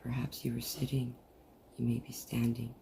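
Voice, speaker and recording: Meditation leader, gravelly fry, flat gentle delivery, soothing long pauses, slightly noisy video-call audio. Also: mid-range voice. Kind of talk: guided meditation.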